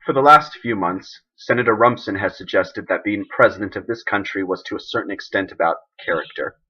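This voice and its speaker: A man playing an embattled, idealistic president who gives an emphatic, deliberate, indignant speech in a dramatic monologue. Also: on the low side, husky, lo-fi sound. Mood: firm, indignant.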